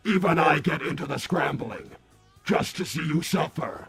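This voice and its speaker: A man voicing an earnest, polite robot on a comedic livestream, speaking formally and haltingly with repeated words. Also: on the high side, vocal fry, a bit bright, breathy edge, faint music behind, full character voice.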